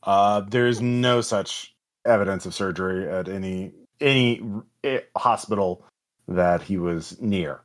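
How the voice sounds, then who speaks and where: Halting man running a tabletop game. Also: low-pitched. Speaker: game master. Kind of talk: improvised tabletop game narration.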